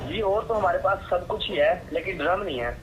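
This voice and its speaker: Man, Pakistani accent, highish voice, exasperated and sarcastic, muffled phone call. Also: fairly quick. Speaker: medicine supplier taking a phone order. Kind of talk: phone conversation. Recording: dull, noisy phone line.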